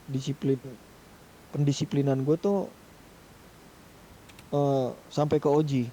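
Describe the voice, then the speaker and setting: A man's voice: fairly high pitch, flat delivery, slow pace, dark tone, a little hiss or hum, rough recording. A man casually leading a discussion among gamers in an online roleplay meeting. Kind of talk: casual in-game meeting talk.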